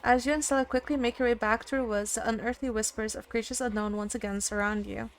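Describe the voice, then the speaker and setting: A woman doing a let's play, reading a visual novel aloud with expressive reactions. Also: on the high side, fast, a little hiss or hum. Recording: music behind.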